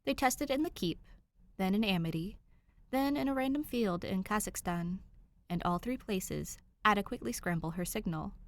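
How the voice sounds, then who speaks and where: A young adult woman reading fan fiction aloud in an expressive, informal storytelling style. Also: mid-range voice, moderate pace. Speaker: podfic reader.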